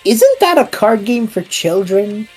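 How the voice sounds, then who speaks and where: A woman's voice: mid-range voice, very animated, measured pace, a little mellow, faint music behind, low-quality recording. Treff, a sarcastic traveler, voiced by a woman. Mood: incredulous. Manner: sarcastic, incredulous. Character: Treff, a bewildered young male traveler. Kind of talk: fan audio drama acting.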